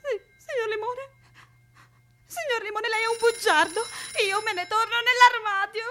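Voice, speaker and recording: A woman's voice: extremely high pitch, normal speed, bright, forceful tone. A woman acting an eccentric, bossy, childlike lady captor in absurdist radio theatre, speaking with prim formality and earnest, absurd logic. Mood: indignant, imperious.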